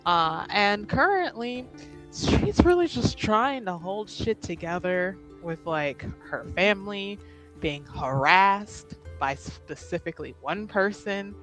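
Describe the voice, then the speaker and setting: Animated, unhurried woman on a game stream. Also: mid-range voice, faint music behind, low-quality recording. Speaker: player in an actual-play game. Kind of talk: casual chat, character introduction.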